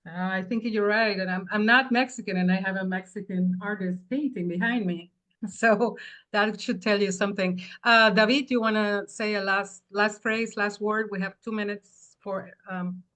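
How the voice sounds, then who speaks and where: Woman moderator, quick and casual, kinda repeats herself. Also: medium pitch, fairly even intonation, a bit bright. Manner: quick, informal, repeats words. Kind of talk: online news briefing moderation.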